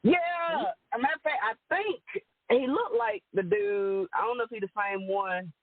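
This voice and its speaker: A woman from Rome, Georgia, talking easily and laughing often. Her voice comes through a narrow, phone-like line with a dry, close sound, and it sits higher than most men's. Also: very animated, normal speed, no echo. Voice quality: deep alto voice. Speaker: guest singer and entertainer. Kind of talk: casual chat.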